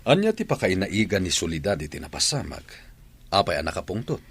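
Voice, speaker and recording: A man's voice: deep voice, very animated, brisk, breathy edge, heavily acted. A man with an Ilocano accent playing a stern, questioning head of the household in an audio drama. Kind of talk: Ilocano radio drama acting.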